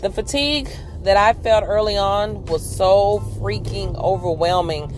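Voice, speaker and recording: A woman in her forties with a somewhat deep voice, chatting candidly and upbeat while she drives on her commute. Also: measured pace, faint music behind. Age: 40s. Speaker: podcast host. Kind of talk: casual chat while driving.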